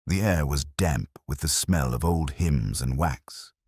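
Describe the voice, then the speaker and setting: A man narrating a scripted first-person detective story in a measured, brooding, literary manner. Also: very deep voice, lively intonation, darkish tone, husky, no echo, studio quality.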